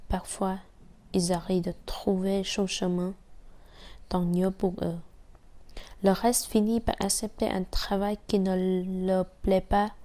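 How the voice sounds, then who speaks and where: Slow, even Vietnamese-accented woman reading. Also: mid-range voice. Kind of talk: reading a personal essay aloud.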